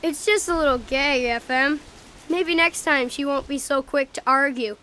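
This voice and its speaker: A woman's voice: sits high, normal speed, bright, forceful tone, slight background noise. A young female-sounding performer playing a brash, taunting boy prankster in an amateur radio drama. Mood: scheming. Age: child. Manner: brash, teasing.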